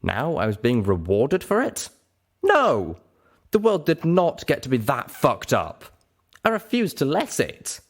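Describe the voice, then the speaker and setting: A man reading a scripted first-person story aloud as narrator, with expressive, dramatic delivery. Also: mid-range voice, a little slow. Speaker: narrator of a fan fiction reading show.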